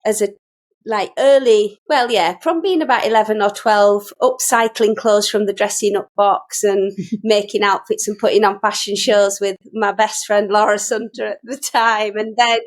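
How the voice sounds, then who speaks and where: A middle-aged woman with a Yorkshire accent talks as an interview guest. Her voice sits at a medium pitch, and she tells personal stories in an informal, chatty, meandering flow. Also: steady tone, moderate pace. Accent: Yorkshire English. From Yorkshire, England. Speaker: guest, sewing teacher. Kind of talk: casual conversational storytelling.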